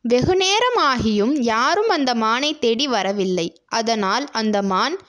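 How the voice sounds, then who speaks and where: A woman storyteller with a very high voice narrates a children's tale. She reads slowly from a script, in the style of a bedtime story. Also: animated, fairly bright tone.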